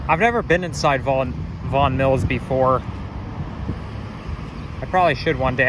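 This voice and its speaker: A man filming a casual walking vlog, chatting in a rambling, self-correcting way as he walks outdoors with a handheld camera. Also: mid-range voice. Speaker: vlogger. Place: outdoors on city sidewalks near traffic. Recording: outdoor street noise behind.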